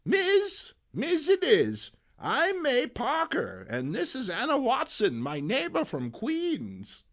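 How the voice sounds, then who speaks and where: A man's voice: extremely high pitch, huge pitch swings, slow pace, fairly bright tone, pitched above the performer's own voice, full character voice. A man voicing a feisty, righteous older woman with old-fashioned, exclamatory talk in a comic book reading. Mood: polite. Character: feisty, righteous old woman crusader, sweet but indignant.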